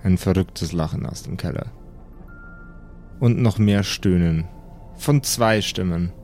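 A man narrating an improvised tabletop game as game master, in a measured, suspenseful way with dramatic pauses. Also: low-pitched, a little mellow, faint music behind.

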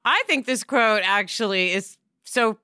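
A woman's voice: medium pitch, unhurried, clear, resonant tone, dry recording, clean studio sound. A middle-aged woman co-hosting a podcast, chatting casually and expressively. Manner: chatty, expressive, says "man" often. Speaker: podcast co-host. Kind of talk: casual chat.

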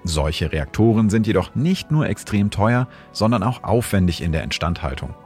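A man hosting and narrating scripted science explanations in a calm, measured way. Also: lowish voice, faint music behind.

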